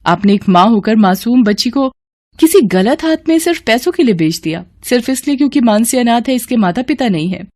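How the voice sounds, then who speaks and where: A woman's voice: on the high side, animated, brisk, a little mellow, pitched above the performer's own voice. A woman voicing a kind, motherly orphanage keeper in a cartoon moral story, earnest and reassuring. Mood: reproachful. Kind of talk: animated moral story acting.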